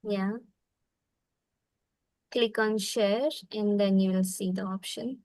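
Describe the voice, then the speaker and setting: A woman's voice: medium pitch, fairly even intonation, slow, a little mellow, dry recording. A woman hosting an online cultural exchange on a video call, moderating informally.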